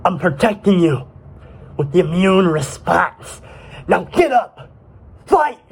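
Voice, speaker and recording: A man's voice: sits high, sing-song, slow, creaky, slightly rough, voice pushed up, full character voice. A man voicing a gallant military cell commander, barking clipped orders in an educational comedy skit. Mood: commanding, urgent.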